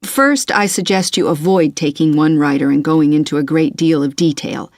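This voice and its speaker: A woman playing a courteous, methodical university tutor in a scripted listening-test dialogue, measured and encouraging in her advice. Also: low voice, darkish tone, no echo, studio quality. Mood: courteous, advisory.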